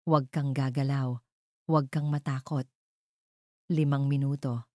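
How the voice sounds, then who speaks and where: A woman's voice: a bass voice, level tone, a little slow, mellow, no echo, studio quality. A woman voicing an alarmed, protective young male best friend with an urgent, commanding manner in an audio drama. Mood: urgent, reassuring.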